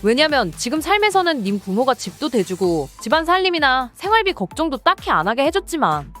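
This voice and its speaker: High, animated woman reading pointed comments. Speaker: reader of listener comments. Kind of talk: scripted dramatic reading of written comments. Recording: music bed under the voice.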